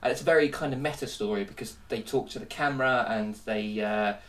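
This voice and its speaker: A man's voice: mid-range voice, quick pace, fairly bright tone, far from the mic. A man hosting a casual film-review podcast. He is jokey and teasing, and stuffy with a cold. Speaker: podcast host.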